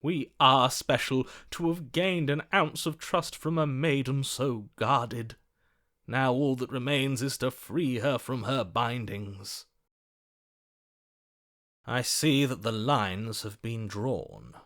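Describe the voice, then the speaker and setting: An actor playing a lovestruck, gallant romantic hero in a video game, speaking in a florid, swooning, melodramatic way. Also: male voice, mid-range voice, slow pace, fairly bright tone. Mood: tender, adoring. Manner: florid, swooning, dramatic delivery. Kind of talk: theatrical video game voice acting.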